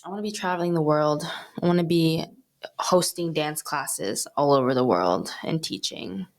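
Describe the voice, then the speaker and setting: A woman's voice: deep voice, lively intonation, normal speed. Chatty young woman, lots of "like". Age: 20s. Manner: giggly, chatty, full of fillers like "like". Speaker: podcast guest. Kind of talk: casual interview chat.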